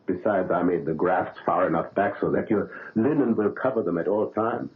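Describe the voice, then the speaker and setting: An actor playing a proud, paternal surgeon in a 1970s radio drama, coaxing and insistent. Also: male voice, low-pitched, normal speed, natural voice. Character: proud, paternal German surgeon, older man. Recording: narrow phone-like old radio broadcast.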